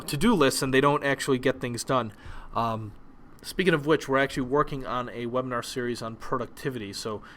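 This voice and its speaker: A young man whose first language is Polish, giving a relaxed presentation lesson with frequent ums and restarts. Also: medium pitch. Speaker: teacher.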